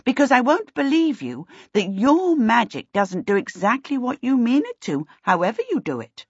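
Woman voicing a brisk, severe witch, lively pitch. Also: breathy edge, dry recording, muffled recording, exaggerated voice. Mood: dry, emphatic. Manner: brisk, dry, emphatic, impatient. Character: brisk, severe, super-efficient middle-aged witch mother. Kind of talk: audiobook character voice.

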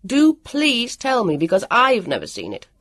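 A woman's voice: lowish voice, steady tone, a little slow, vocal fry, no echo, pitched above the performer's own voice. A woman voicing an earnest, self-dramatising boy who speaks precisely and emphatically in a storybook reading. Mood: angry.

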